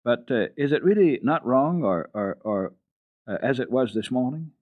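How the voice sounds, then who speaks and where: A man's voice: medium pitch, lively intonation, normal speed, no echo, narrow, tinny audio, natural voice. A man voicing an innocent little mountain girl, earnest and full of naive questions, in a children's story reading. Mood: timid asking.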